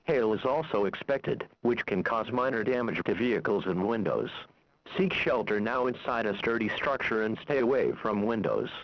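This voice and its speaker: A man's voice: on the low side, expressive, no room sound. Synthetic male announcer, dull radio sound. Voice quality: synthesized text-to-speech voice. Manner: formal, measured, impersonal. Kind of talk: scripted weather warning bulletin. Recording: dull lo-fi weather radio broadcast.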